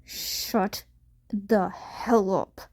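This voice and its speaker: A woman voicing an angry, abrasive teenage boy hero intern with a gruff, growling delivery in an audio story. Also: steady tone, extremely slow, darkish tone. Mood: growling, irritated. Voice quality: deeper, gruff.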